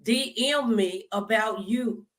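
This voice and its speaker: A woman's voice: mid-range voice, slow pace. A woman hosting an upbeat talk show interview, speaking in an effusive, encouraging and colloquial way.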